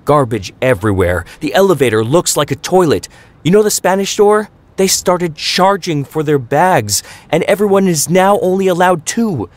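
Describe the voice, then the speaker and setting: A man's voice: sing-song, normal speed, natural voice. Give me a furious, fed-up mother, voiced by a man who rants shrilly. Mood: ranting. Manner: shrill, exasperated ranting. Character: furious overwhelmed mother of two, woman.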